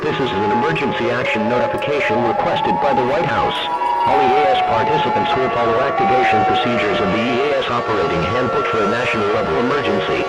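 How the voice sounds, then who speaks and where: Brisk male emergency alert announcer. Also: mid-range voice, muffled recording, over loud music. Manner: official, impersonal. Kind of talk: formal scripted emergency broadcast announcement.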